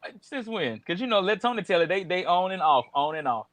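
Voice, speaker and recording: A man's voice: high-pitched, very animated, brisk, a bit bright, dry recording. A man with a Southern US accent co-hosting a casual chat show, talking through celebrity news with lots of fillers and restarts. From American South. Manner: chatty, many fillers and restarts. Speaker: podcast co-host.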